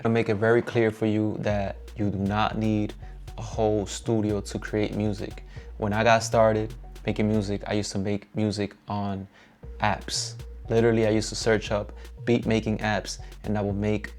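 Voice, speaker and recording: A young man with a Dominican accent giving a relaxed, upbeat tour of his home music studio, encouraging and slangy. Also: fairly low pitch. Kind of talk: casual vlog walkthrough.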